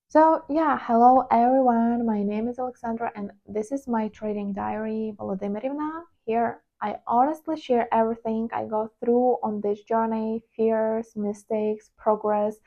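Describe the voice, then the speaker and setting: A woman's voice: a little high, muffled recording. A woman with a Ukrainian accent, a native Ukrainian speaker, talking candidly and reflectively to her channel's viewers, with the odd hesitant "uh". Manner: candid, confessional, with hesitant fillers. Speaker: trading vlogger. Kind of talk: personal reflective monologue.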